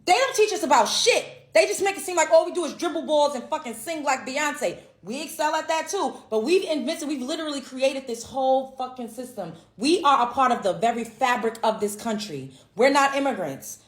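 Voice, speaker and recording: A woman's voice: high-pitched, animated, brisk, hardly any pauses. An American woman talking bluntly and emphatically in a casual opinion piece, with some swearing. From United States. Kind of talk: casual opinion talk to camera. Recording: echoey room.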